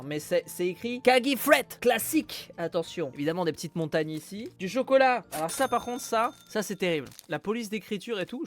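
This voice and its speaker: A man streaming live, chatting casually as he unboxes a package, with loud exclamations and casual swearing. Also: sits high. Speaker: streamer opening a viewer's package.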